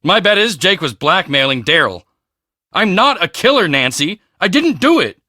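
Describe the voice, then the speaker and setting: Cocky, high-pitched teen football jock. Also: male voice, very animated, normal speed, sharp tone, no echo, clean studio sound, full character voice. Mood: uneasy, confessing. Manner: swaggering, self-important, emphatic. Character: cocky, boastful teenage high school football star. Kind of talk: video game character dialogue.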